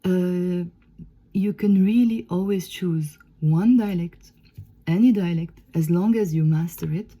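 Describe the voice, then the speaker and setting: A woman's voice: lowish voice, unhurried, dark tone. A Lebanese woman, a native Arabic speaker, explaining things as a teacher in a conversational, emphatic way, often checking in with "okay?".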